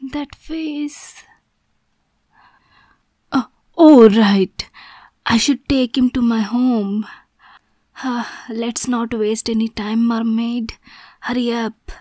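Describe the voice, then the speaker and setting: A woman's voice: fairly high pitch, mellow, dry recording. A woman playing a young, obsessive yandere girlfriend kidnapper in an ASMR roleplay, cutesy and teasing with sweet menace. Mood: sneaky, excited. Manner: slow, cutesy, teasing, breathy asides.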